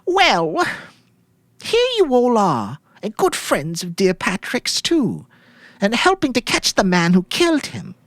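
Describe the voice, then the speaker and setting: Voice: medium pitch, huge pitch swings, slow pace, a bit bright, no echo, voice pushed up, exaggerated voice. A performer voicing a tearful widow who speaks politely and pleadingly, with a false, coaxing sweetness, in a story reading.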